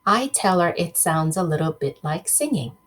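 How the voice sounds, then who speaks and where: A woman's voice: lowish voice, sing-song, normal speed. A woman teacher reading a children's book aloud, expressively, breaking off to question her pupils directly.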